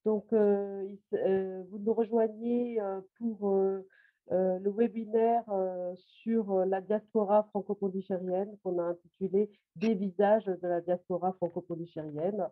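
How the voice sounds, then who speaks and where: A woman hosting a webinar, introducing a guest in halting speech with many pauses. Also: mid-range voice, steady tone, dry recording. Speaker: webinar host. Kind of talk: hesitant moderation. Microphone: video call. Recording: dry tinny phone-like line.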